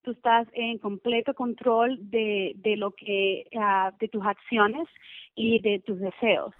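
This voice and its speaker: A woman's voice: medium pitch, steady tone, slow pace, no echo. A woman, a podcast guest chatting casually over a call, searching for words and slipping into English. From Venezuela. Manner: hesitant word-searching, slips into English. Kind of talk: casual interview chat. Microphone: remote call. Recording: muffled narrow-band call, dry.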